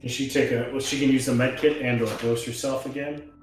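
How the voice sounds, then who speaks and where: A man with a mid-pitched voice talks casually as a player at a tabletop game. His voice echoes strongly in the room, and music plays behind it. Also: fairly even intonation, fairly quick.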